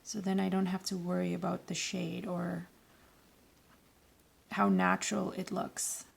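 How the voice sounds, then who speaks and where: A woman with a raspy voice today, giving loose, rambling, self-correcting instructions in a casual watercolour tutorial. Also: fairly low pitch, little pitch movement, measured pace. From Canada. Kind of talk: casual painting tutorial voice-over.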